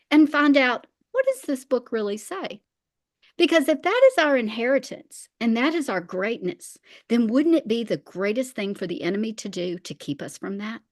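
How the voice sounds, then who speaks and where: High-voiced woman, emphatic faith teacher. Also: expressive, normal speed. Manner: emphatic, repeats phrases for weight. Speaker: interview guest, faith teacher.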